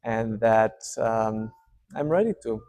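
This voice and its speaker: A man in his thirties from Serbia with a Serbian accent, hosting his channel and talking straight to camera in a relaxed, unscripted way with many fillers. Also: lowish voice, slow, dry recording. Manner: warm, hesitant, many fillers. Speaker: channel host. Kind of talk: casual unscripted update to camera. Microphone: speaking to camera.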